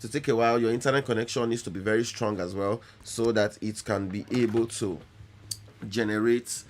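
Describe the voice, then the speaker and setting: A man teaching photo retouching software in a live stream, talking in loose, repetitive phrases with frequent restarts. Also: on the low side, steady tone, a little fast, slight background noise. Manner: apologetic, repeats words, many restarts. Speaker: photography instructor.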